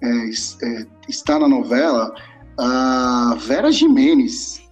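A man's voice: mid-range voice, huge pitch swings, takes it slowly, faint music behind. A man from São Paulo, chatting casually and rambling as a podcast co-host, swearing freely. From São Paulo, Brazil. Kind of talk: casual chat.

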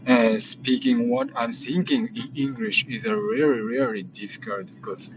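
Japanese-accented man, young adult, vlog diary; tired, halting, lots of "uh"s; dull recording with steady noise. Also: medium pitch, gravelly. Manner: hesitant, many fillers and self-corrections. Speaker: vlogger. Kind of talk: casual spoken diary. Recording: muffled lo-fi with background noise.

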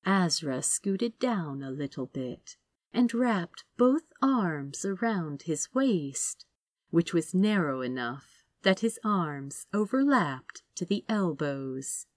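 A woman narrates a story in a lowish voice at a very unhurried pace. Her delivery is expressive and animated in pitch, and the recording is dry and close. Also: no echo. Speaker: fan fiction audiobook reader.

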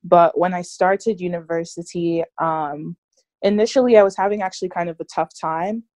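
A woman's voice: lowish voice, steady tone, moderate pace, darkish tone, dry recording, studio quality. A young woman from Nigeria, a student guest in an interview, talking reflectively with many fillers like "like" and "you know". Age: young adult. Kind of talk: casual interview conversation.

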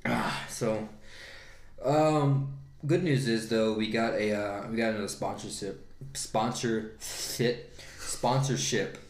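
A man's voice: on the low side, fairly even intonation, slow, reverberant. A man with a Texas twang co-hosting a casual podcast from a living room. He talks in a loose, laid-back way, swears freely and laughs often. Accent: Texan. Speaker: podcast co-host.

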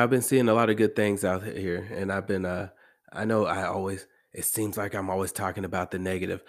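A low-pitched American man delivers a casual, motivational podcast monologue. He sounds congested and a little hoarse, as if from allergies. He talks conversationally, often repeating a phrase to drive it home. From American Midwest. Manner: conversational, emphatic repetition. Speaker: podcast host.

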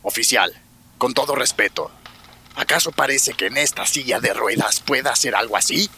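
Casting: wary, indignant man, very sharp husky voice, radio drama. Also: medium pitch, moderate pace, full character voice. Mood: defensive, wary. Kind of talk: audio drama acting.

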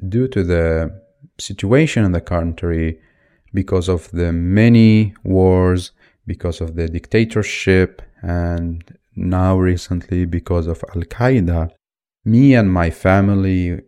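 A man's voice: deep voice, slow pace, dark tone. A man in his twenties from Iraq, hosting a solo podcast and talking off the cuff with restarts and fillers. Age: 20s. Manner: unscripted, thinking aloud with fillers and restarts. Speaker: podcast host.

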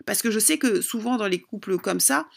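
A woman's voice: mid-range voice, expressive, fairly quick, gravelly. A woman speaking informally as a relationship advice vlogger, giving opinionated advice in an emphatic, insistent and repetitive way.

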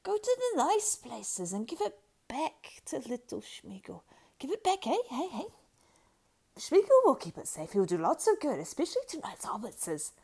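A woman voicing a wretched, cringing male creature who wails and pleads in a shrill, squeaky whisper. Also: huge pitch swings, moderate pace, gravelly, dark tone, heavily acted. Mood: pleading. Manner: wailing, pleading, singsong.

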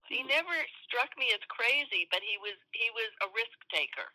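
Older female interviewee, conversational, piercingly bright tone, phone-like sound. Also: on the high side, expressive, moderate pace. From suburb of Boston, USA. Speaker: interviewee recalling student activism.

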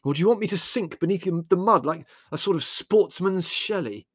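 A man's voice: medium pitch, animated, fairly quick, fairly bright tone, dry recording. A man voicing a pompous, sarcastic, easily indignant young man for an audiobook story. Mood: vitriolic. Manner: bitter, sarcastic, elaborate indignant phrasing. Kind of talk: audiobook character voice.

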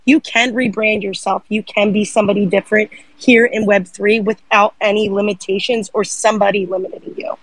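A woman's voice: medium pitch, steady tone, fairly quick, few pauses, no echo, low-quality recording. A woman talking in a casual live audio chat, speaking earnestly and emphatically about her own experience.

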